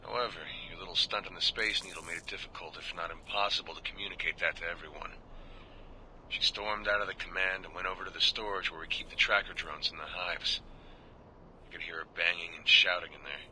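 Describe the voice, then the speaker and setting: An actor playing a world-weary American prison guard, confiding and plain-spoken, in a video game audio log. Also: male voice, sits low, steady tone, a little fast, bright, forceful tone, slight background noise, low-quality recording. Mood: wry, confiding. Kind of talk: scripted audio-log monologue.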